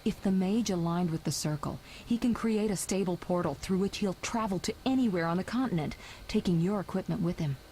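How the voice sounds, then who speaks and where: A woman playing a headstrong, sharp-tongued young sorceress in a video game, assertive in manner. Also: lowish voice, lightly acted. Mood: determined. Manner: assertive, brisk retorts. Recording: game audio with faint background noise.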